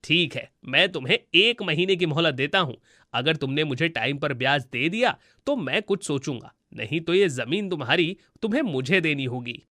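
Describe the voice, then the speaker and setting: A man plays a greedy village moneylender in a cartoon tale. He talks in a fairly high, dry-sounding voice, smug and unyielding, with menace in his manner. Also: lively intonation, normal speed, sharp tone, dry recording. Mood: threatening. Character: grasping, threatening male village moneylender.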